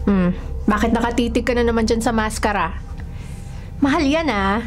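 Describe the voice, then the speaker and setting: A woman playing a bossy, protective woman of the household in a radio drama, brisk and scolding. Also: a little high, soft background music, lightly acted. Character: bossy, protective women of a household, nanny and elder sister.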